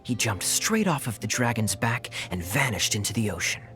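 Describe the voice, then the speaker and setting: A suave young knight captain, speaks with playful, knowing mockery. His voice moves lively up and down as he needles his companions. Faint music sits beneath the game dialogue. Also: mid-range voice, normal speed. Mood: teasing. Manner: teasing, wry and playful. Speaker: game character.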